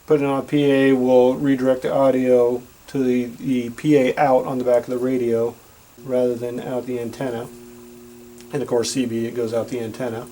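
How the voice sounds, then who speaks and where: A man's voice: medium pitch, level tone, normal speed, warm tone, poor audio. A man giving a plain-spoken, instructional product demonstration. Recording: audible background noise with hum.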